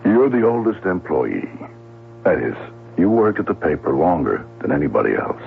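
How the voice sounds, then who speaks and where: Low gravelly radio police detective. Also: male voice, big pitch swings, normal speed, heavily acted. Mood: insinuating. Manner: probing, matter-of-fact. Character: Lieutenant Bennett, probing, unhurried male police detective. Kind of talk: 1970s radio drama acting. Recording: tinny, noisy old radio broadcast.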